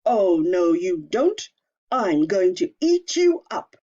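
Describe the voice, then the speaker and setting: A woman voicing a nasty, ugly old male troll in a storybook reading, slow and menacing, on a dull lo-fi recording. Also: on the low side, very animated, warm tone, heavily acted. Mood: gruff.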